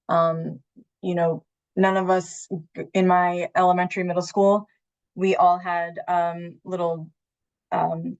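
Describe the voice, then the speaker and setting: A middle-aged woman from Maine with an American accent, moderating a panel conversationally in halting, hesitant phrases. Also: on the low side, dull audio. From Maine, United States.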